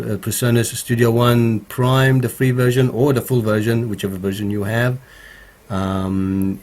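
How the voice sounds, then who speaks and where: Male stream host, slightly croaky, slow and halting, with many fillers, recorded at a home studio mic. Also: on the low side, a little mellow. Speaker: home studio streamer answering viewer questions. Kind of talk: casual live stream chat.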